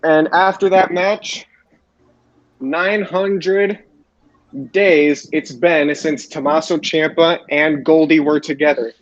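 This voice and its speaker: A man with a slightly high voice hosts a casual sports recap. He talks haltingly and repeats his phrases. The sound is dull and lo-fi, like an online voice call. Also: fairly even intonation. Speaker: podcast host. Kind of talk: casual wrestling show recap. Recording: roomy, dull lo-fi voice chat.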